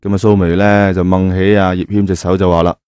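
A man's voice: deep voice, flat delivery, brisk, a little mellow, smooth, no room sound, clean studio sound. A man narrating an audio drama in a colloquial storytelling style.

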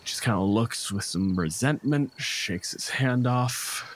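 A man's voice: mid-range voice, lively intonation, slow. A man narrating a tabletop role-playing game as game master in a conversational way, with dryly humorous asides. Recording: clear background noise. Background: night forest ambience with crickets and insects.

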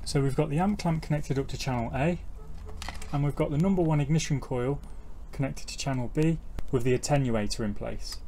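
A man's voice: medium pitch, fairly quick, dark tone. A middle-aged man working as a mechanic, giving an informal, step-by-step technical tutorial outdoors on a driveway. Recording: clear ambient noise, outdoor driveway.

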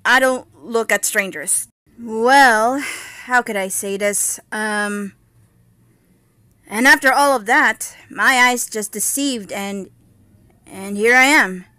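A woman's voice: fairly high pitch, slow, pauses often, pushed and bright, no room sound. A woman voicing a feisty young warrior fairy princess, wary and bold, in dramatic, sassy amateur cartoon lines. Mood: awkward, guarded. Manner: dramatic, sassy, hesitant stammers.

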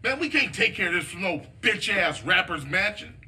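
A man's voice: high-pitched, sing-song, moderate pace, bright, forceful tone, exaggerated voice. An actor playing a stern, hot-tempered street gangster in a video game, preachy and scolding in slangy speech, fiercely loyal to his neighborhood. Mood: scornful. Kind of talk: dramatic game cutscene dialogue with street slang.

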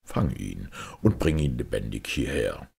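A man's voice: rumbling low, sing-song, a little slow, creaky, a little mellow, breathy edge, pitched below the performer's own voice, exaggerated voice. A man voicing a commanding, threatening fairy-tale king, stern and imperious.

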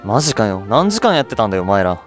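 A man's voice: on the low side, very animated, fast talker, music in the background, pitched below the performer's own voice. Man playing a hot-headed, cocky young gambler. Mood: indignant. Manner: rough, slangy, blustering.

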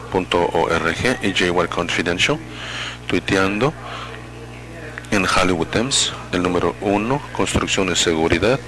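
A man interpreting live at a public meeting, speaking in halting, fragmented phrases and correcting himself as he goes. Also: low voice, rough recording. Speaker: simultaneous interpreter.

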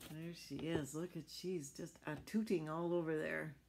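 A woman's voice: low voice, fairly even intonation, normal speed, gravelly, darkish tone, a little hiss or hum. A middle-aged woman reading a picture book aloud to children, adding chatty explanations and little questions to her listeners. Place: small furnished room at home.

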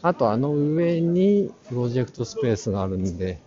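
A man's voice: mid-range voice, sing-song, brisk. A man narrating a casual walk-through, muttering short fragments half to himself through a face mask. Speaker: vlog host touring an art fair. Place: large crowded indoor exhibition hall. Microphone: handheld camera at arm's length. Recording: dull sound in a busy hall. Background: crowd murmur.

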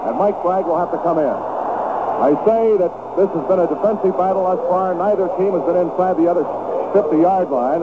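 A male American play-by-play commentator with a fairly high voice calls football in clipped, descriptive phrases. The sound is that of a 1970s radio broadcast, thin and narrow like a phone line, with clear noise behind him. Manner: clipped, descriptive phrasing, calling plays as they happen.

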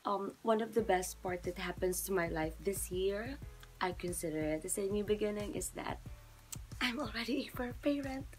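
A woman's voice: medium pitch, normal speed, soft background music, low-quality recording. A young woman from the Philippines, cheerful and giggly, talking to her phone at home. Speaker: viewer, dog owner. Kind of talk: casual video message.

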